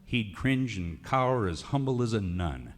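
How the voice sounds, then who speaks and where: A man with an American West accent recites comic narrative cowboy poetry in a folksy, theatrical drawl with drawn-out emphasis. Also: fairly low pitch, lively intonation. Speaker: cowboy poet. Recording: reverberant hall recording of a radio show.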